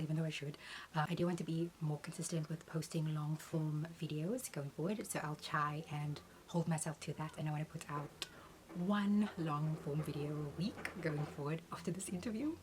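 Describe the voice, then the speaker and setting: A young woman with a low, steady voice speaks as a beauty creator answering viewer questions. She talks in an earnest, measured way, pausing with an occasional "um". A faint hiss sits under the recording. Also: poor audio. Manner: earnest, measured, uses "um" between points.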